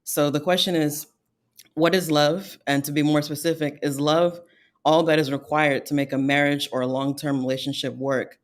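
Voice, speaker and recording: A woman hosting a virtual panel discussion over a video call, posing questions in a measured way with some "um"s. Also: low-pitched, steady tone. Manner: measured, fills pauses with "um". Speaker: host and moderator.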